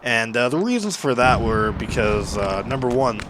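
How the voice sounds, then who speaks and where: A man's voice: mid-range voice, big pitch swings, normal speed. A man from Western Canada with a Canadian accent, giving casual, rambling gameplay commentary as a video host. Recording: noisy, game gunfire behind voice. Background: game battle sounds with gunfire.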